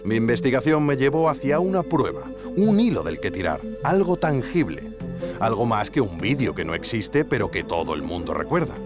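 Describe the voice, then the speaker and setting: A man's voice: mid-range voice, very animated, moderate pace, close to an everyday voice. An actor from Spain playing a wry, curious male investigator narrating a podcast, with ironic conversational asides to the listener. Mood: wry, intrigued. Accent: Spanish from Spain. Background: music.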